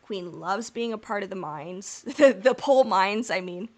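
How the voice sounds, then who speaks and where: A woman's voice: mid-range voice, animated, unhurried. A woman co-hosting a podcast in casual chat. She talks in long, rambling, candid stretches, swears freely and laughs through her own jokes. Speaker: podcast co-host.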